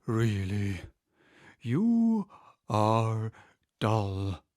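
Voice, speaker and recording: A man voicing a sorrowful, irritable Mock Turtle in a storybook reading, with a deep, hollow tone and halting, drawn-out words. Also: very animated, darkish tone, no echo, studio quality, full character voice. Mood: angry, wounded. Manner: very slow, drawn-out, halting delivery.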